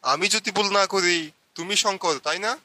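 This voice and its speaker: A man's voice: high voice, moderate pace, sharp tone. A man voicing a hospitable, cautioning older uncle in a cartoon, with a fatherly manner. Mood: fatherly, cautious.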